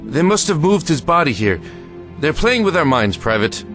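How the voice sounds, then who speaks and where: An actor playing a firm American lieutenant who keeps control and gives hard orders. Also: male voice, on the high side, very animated, normal speed. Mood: grim. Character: grim male American lieutenant, in command. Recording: audio drama with music underneath.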